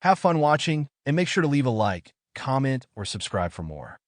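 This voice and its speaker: A man's voice: mid-range voice, big pitch swings, normal speed, dry recording. A man giving a content creator's sign-off to viewers. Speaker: video creator. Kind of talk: channel outro sign-off.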